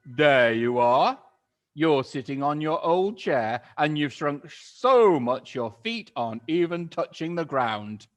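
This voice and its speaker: A man voicing a cruel, gloating old husband who taunts slowly and mockingly. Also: mid-range voice, lightly acted. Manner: slow, drawn-out and mocking.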